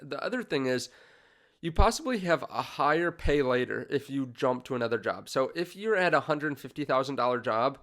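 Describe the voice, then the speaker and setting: A man's voice: medium pitch, animated, moderate pace, fairly bright tone. A congested young man in his twenties hosting a finance channel, talking casually to camera with many fillers and restarts. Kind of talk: casual direct-to-camera talk.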